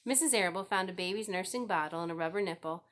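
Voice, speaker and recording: A woman's voice: fairly low pitch, fast, fairly bright tone. A woman narrating a children's book aloud as a scripted story reading. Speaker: audiobook reader.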